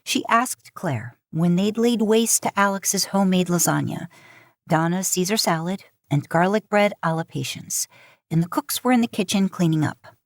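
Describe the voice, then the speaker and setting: A woman narrating a story from a script in an expressive reading style. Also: lowish voice, normal speed, dry recording, studio quality. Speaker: podfic narrator, podcast host.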